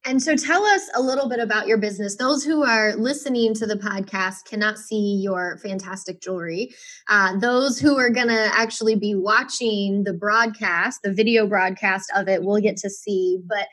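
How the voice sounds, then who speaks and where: Bright-voiced gushing woman host. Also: medium pitch, moderate pace. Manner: warm, effusive introductions. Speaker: podcast host. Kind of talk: enthusiastic interview chat.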